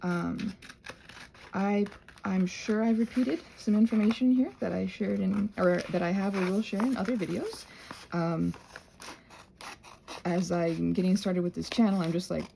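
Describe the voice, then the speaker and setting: A woman giving a casual, rambling craft tutorial, chatty and thinking aloud as she interrupts herself with gentle reassurances. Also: mid-range voice, fairly even intonation, a little slow, vocal fry, pauses often, slight background noise, low-quality recording. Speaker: craft and ASMR channel creator, host.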